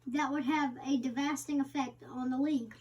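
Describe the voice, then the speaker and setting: High-voiced woman reading aloud, off-mic. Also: steady tone, a little mellow. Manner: halting reading with stumbles. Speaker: co-host reading the interviewer's part. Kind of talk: reading a magazine interview aloud. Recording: distant microphone.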